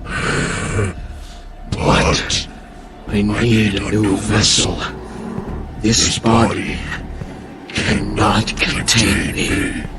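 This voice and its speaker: A man's voice: on the low side, little pitch movement, drawn-out words, long pauses, noisy background. An actor playing a banished, haughty entity possessing a man's body in an audio drama, with formal, contemptuous menace. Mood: haughty, brooding. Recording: music behind the voice.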